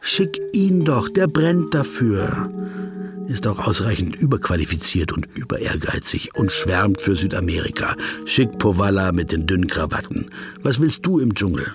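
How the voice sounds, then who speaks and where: A man's voice: deep voice, big pitch swings, normal speed. An actor playing the sardonic inner voice of an overthinking male corporate lawyer, talking to himself in a radio drama. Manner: sardonic, self-addressing. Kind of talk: second-person inner monologue in a radio drama. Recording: music clearly behind the voice. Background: music.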